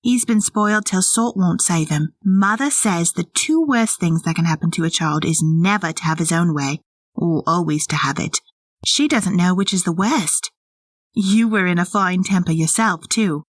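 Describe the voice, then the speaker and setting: A woman's voice: on the low side, moderate pace, no room sound, studio quality, close to an everyday voice. A woman playing a kindly, plain-spoken young housemaid in an audio drama. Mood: sympathetic.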